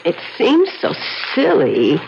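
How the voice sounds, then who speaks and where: An actress playing a timid, well-meaning housemaid in a 1970s radio drama, deferential, hesitant and apologetic. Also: female voice, on the low side, wildly swooping pitch, slow pace, creaky, exaggerated voice. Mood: meek, flustered. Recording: dull old radio broadcast, faint hiss.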